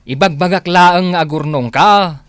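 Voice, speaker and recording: A man's voice: high-pitched, measured pace, a bit bright, no echo, clean studio sound. A man acting a stern, hardworking farmer and older brother in a radio drama, grumbling with an Ilocano accent. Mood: disapproving.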